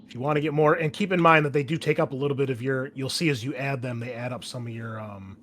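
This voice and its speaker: A man's voice: medium pitch, fast, fairly bright tone, a little hiss or hum. A man explaining tabletop game rules casually as game master, thinking aloud as he goes. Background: background music.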